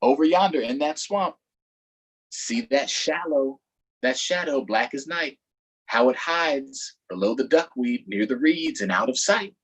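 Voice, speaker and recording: A man voicing an excitable, folksy swamp boat guide in a storybook, wary of a gator and speaking with exaggerated delivery. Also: mid-range voice, a little slow, slightly rough, low-quality recording. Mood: hushed excitement.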